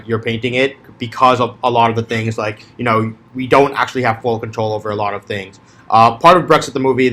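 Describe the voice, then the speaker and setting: A man's voice: medium pitch, a bit bright. A man talks casually in front of a class, presenting and commenting on video clips. His speech rambles and is packed with filler words. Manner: rambling, full of "like" and "um".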